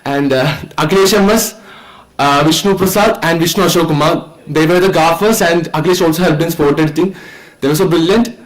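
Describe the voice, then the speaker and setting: A nineteen-year-old man with an Indian accent gives an earnest, halting, informal thank-you speech as a student filmmaker. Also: fairly high pitch, rough recording. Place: event stage at a film festival.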